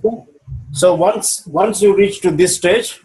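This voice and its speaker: A man's voice: high voice, unhurried, a little mellow, poor audio. A man coaching on a video call. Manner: patient, checks in with "okay?" after each point. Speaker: business mentor.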